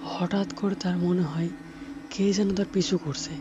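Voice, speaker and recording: A man's voice: high-pitched, steady tone, unhurried. A man narrating a cartoon folk tale in a scripted storytelling style. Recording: dull lo-fi audio under clear music.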